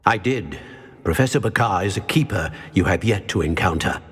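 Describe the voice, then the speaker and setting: Ancient wizard keeper: low, husky, solemn and cryptic, ringing in long reverb. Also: male voice, lively intonation, normal speed, full character voice. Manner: stately, formal, cryptic. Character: grave, wise old male keeper of ancient magic. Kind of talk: video game voice acting.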